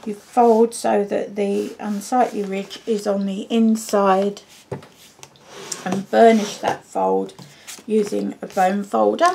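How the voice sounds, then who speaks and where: A croaky, gravelly woman with a cold talks slowly and easily through a craft demonstration, her tone mellow and unhurried. She fills pauses with little ums. A faint hiss sits under the recording. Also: mid-range voice. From United Kingdom. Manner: chatty, with frequent ums. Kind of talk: card-making tutorial.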